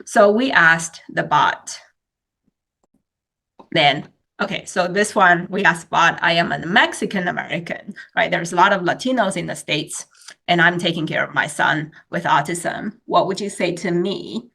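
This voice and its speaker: Bright-voiced woman giving a webinar talk. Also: fairly low pitch, measured pace, gravelly, slightly rough. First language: Chinese. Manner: explanatory, many fillers and restarts. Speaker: webinar speaker, researcher. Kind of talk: academic webinar presentation.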